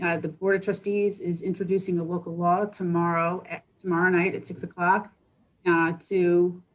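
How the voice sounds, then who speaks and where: A woman giving an informal planning update to a board on a video call, halting and matter-of-fact. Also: fairly low pitch, rough recording. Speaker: village planner briefing a board. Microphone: video call microphone.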